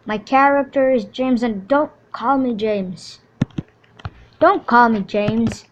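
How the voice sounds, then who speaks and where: A woman's voice: fairly high pitch, level tone, takes it slowly, darkish tone, lo-fi sound. A woman voicing a panicky young man trapped on a sinking ship, talking in a frantic, pleading way. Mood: indignant.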